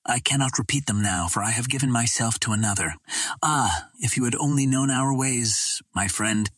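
A man's voice: medium pitch, normal speed, a bit bright, dry recording, natural voice. A man reading an audiobook, voicing a proud young alien princess who speaks with sorrow and resignation. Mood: wistful, confessing. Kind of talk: dramatic audiobook dialogue.

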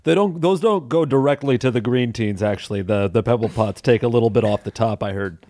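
A man's voice: mid-range voice, a little fast, mellow, no room sound, natural voice. A man playing a casual, defensive fantasy adventurer in improvised comedy podcast banter.

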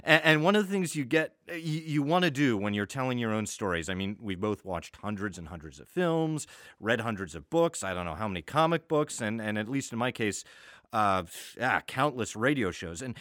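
A man's voice: lowish voice, expressive, normal speed, fairly bright tone, no room sound, studio quality. A man hosting a casual podcast chat, often restarting his phrases as he goes. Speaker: podcast host and audio drama writer.

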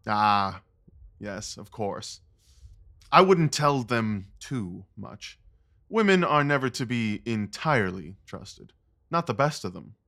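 A man playing a brooding, guarded Victorian gentleman detective in an audio drama, speaking in a clipped, formal, world-weary way. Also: fairly low pitch, animated, slow pace, creaky, full character voice. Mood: wry, guarded.

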